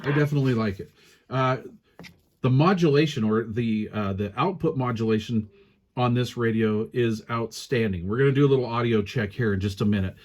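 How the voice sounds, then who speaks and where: A man's voice: mid-range voice, fairly quick. A man giving a casual, hands-on product review, explaining step by step in an informal, hesitant way. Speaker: radio gear reviewer.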